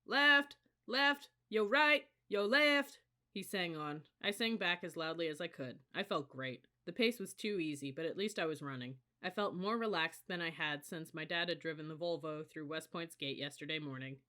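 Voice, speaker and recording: Audiobook reader: bright, forceful tone, scripted first-person novel narration. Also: medium pitch, fairly quick, hardly any pauses.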